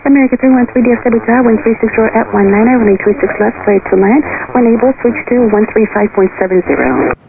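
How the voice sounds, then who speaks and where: A woman's voice: a little high, quick pace, gravelly, a crowd in the background. A woman working as a tower air traffic controller, giving clipped instructions over aviation radio. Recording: tinny, narrow aviation radio.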